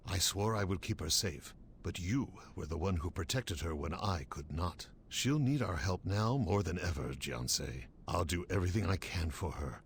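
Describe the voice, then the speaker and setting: An actor playing a grave, devoted middle-aged military captain in a fantasy game, earnest and measured. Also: male voice, deep voice, expressive, vocal fry, slight background noise. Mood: grateful, grave. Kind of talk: fantasy game cutscene acting.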